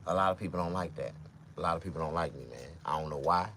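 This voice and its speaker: Mississippi man, about fifty, very low voice, rambling profane riffs to camera, faint room hum behind. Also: fast talker. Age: 50s. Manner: profane, loose and rambling, with sudden loud outbursts. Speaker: vlogger and stand-up comedian. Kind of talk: rambling casual monologue.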